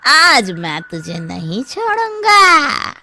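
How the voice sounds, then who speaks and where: A woman's voice: high voice, huge pitch swings, bright, forceful tone, exaggerated voice. Woman acting gloating male thief posing as a ghost. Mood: menacing. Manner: menacing, taunting, drawn-out exclamations.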